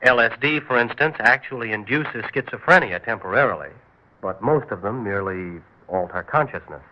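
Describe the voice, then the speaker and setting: A man plays a curious writer who narrates an old radio drama in a calm, thoughtful way. His voice is low and his intonation lively. The old recording sounds dull and lo-fi. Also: normal speed, natural voice. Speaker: narrator and lead character, a writer. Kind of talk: 1960s radio drama acting.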